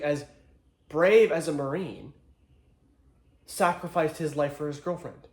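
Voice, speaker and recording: A man playing an earnest, solemn ex-Marine attorney, delivering a deliberate, rhetorical courtroom monologue with dramatic pauses. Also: on the high side, natural voice. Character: earnest male attorney, proud ex-Marine, solemnly addressing jury. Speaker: actor. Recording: echoey room.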